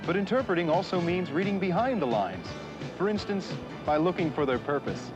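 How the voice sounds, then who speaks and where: An actor playing a chatty explainer, presenting an educational film in a conversational, scripted way. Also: male voice, sits high, lively intonation, brisk. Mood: explaining. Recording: muffled 1970s film soundtrack with music.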